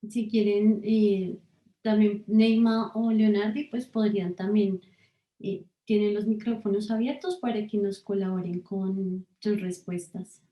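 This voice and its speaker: A woman's voice: mid-range voice, steady tone, measured pace, echoey room, highs cut off. A woman researcher leading an online workshop, speaking hesitantly with many fillers over a video call. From Colombia.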